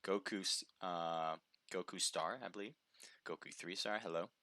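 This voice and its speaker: A man giving chatty play-by-play commentary on a live mobile game match, his voice slightly hoarse from a sore throat. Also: deep voice, steady tone, normal speed, sharp tone, no echo. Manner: chatty, upbeat, reads viewer names aloud.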